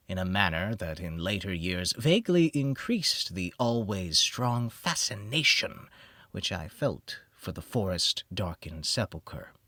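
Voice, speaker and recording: A man narrating a gothic horror audiobook in a brooding, theatrical manner, with deliberate phrasing that drops to a hush. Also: on the low side, dry recording, clean studio sound. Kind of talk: dramatic gothic horror storytelling, scripted narration.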